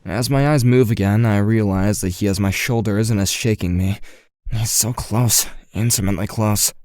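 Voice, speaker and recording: A man's voice: fairly low pitch, normal speed, darkish tone, dry recording, clean studio sound, natural voice. A man playing an anxious, skeptical teenage boy with psychic powers in an audio drama, with a hesitant, wry delivery. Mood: wry, reflective. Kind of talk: audio drama dialogue and first-person narration.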